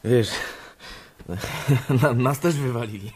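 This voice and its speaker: Laid-off coworker, slow and husky, consoling a friend. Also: male voice, medium pitch, a little mellow. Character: laid-off male bank colleague, sympathetic. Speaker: office colleague.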